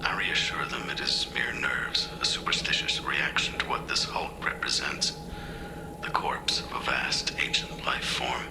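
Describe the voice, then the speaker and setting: A man playing an uneasy scientist recording a formal, brooding private log in a hushed voice for a video game. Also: a bass voice, unhurried, gravelly, in a whisper, heavily acted, low-quality recording.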